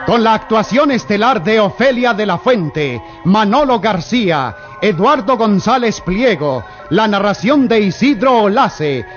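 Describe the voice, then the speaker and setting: A man's voice: sits high, expressive, a little slow. A man with a Mexican accent giving a grand opening announcement for an old radio serial. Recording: muffled old radio recording over loud music.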